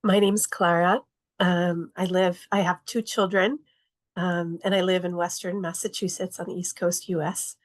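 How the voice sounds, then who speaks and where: A woman circle facilitator speaking in a plain, measured way with fillers in casual chat on a video call. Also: on the low side, level tone.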